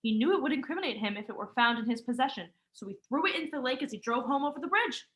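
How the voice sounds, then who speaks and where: A woman's voice: sits high, lively intonation, racing, fairly bright tone. A student actress playing a frightened, suspicious young woman in an urgent, melodramatic vintage radio mystery style. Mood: urgent, accusing. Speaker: student actor.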